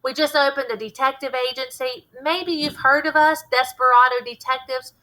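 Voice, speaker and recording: A woman's voice: high-pitched, steady tone, normal speed, bright, forceful tone, poor audio. A woman reading a storybook as a plucky, cheeky Southern girl who fancies herself a detective. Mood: eager.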